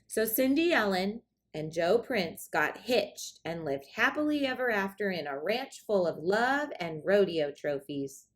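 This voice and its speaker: A woman reads a children's story aloud. Her voice is medium in pitch, and she tells the tale in an expressive storybook style. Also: unhurried, hardly any pauses. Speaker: storybook reader.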